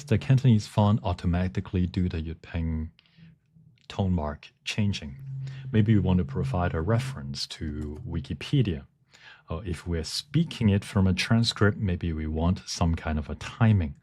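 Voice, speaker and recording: A man giving a technical presentation, speaking haltingly as he searches for words. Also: low voice, warm tone. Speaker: conference presenter. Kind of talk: technical talk with live demonstration.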